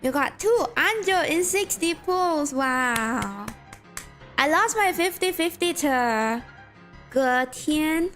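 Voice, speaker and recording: A woman's voice: high voice, animated, slow, a bit bright, faint music behind. Chatty Malaysian woman game streamer. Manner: chatty, reacts to chat and reads game text aloud. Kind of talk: casual game stream chat with viewers.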